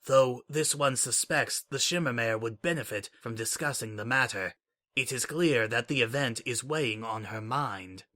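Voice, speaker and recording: A man voicing a calm, inscrutable changeling assassin in an audiobook-style reading, speaking in a level, formal, measured way. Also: mid-range voice, lightly acted.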